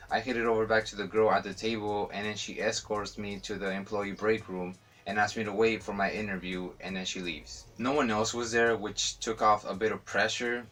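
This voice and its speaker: Young man, Spanish-accented English, lowish voice, casual vlog storytelling, chatty with fillers. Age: young adult. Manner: relaxed, chatty, frequent fillers. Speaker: vlogger.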